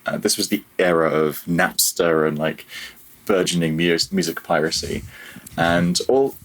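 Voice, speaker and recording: A man's voice: low-pitched, a little slow. A man in his thirties chatting casually as an interview guest, reflective and a little hesitant, with a self-deprecating way of talking. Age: 30s. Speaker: podcast guest, audio programmer.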